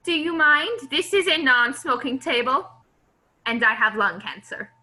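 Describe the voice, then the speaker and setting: A woman's voice: sits high, a little slow, bright, forceful tone, exaggerated voice. A woman playing a formal, officious casino dealer in a comedic play reading, sometimes fumbling the script. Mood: stern. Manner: formal, stumbling over the script.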